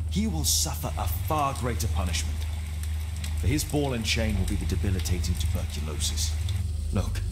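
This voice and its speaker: An actor playing a composed, persuasive Victorian detective in a video game, with an English accent and a measured, formal, articulate delivery. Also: male voice, on the low side, noisy background, close to an everyday voice. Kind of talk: dramatic video game acting. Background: loud dramatic music.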